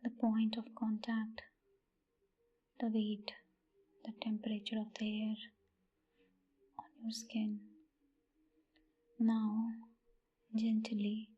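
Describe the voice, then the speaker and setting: A woman leading a guided meditation in a soft, breathy, near-whispered voice, with gentle phrasing and long pauses. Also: fairly high pitch, highs cut off. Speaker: meditation guide, channel host.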